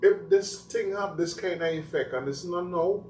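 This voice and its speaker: A man's voice: high-pitched, normal speed, a little mellow, distant mic. A man with a Trinidadian accent hosting a casual talk show, rambling through stories with emphasis. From Trinidad and Tobago. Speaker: host. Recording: echoey room, voice from off-mic, faint noise.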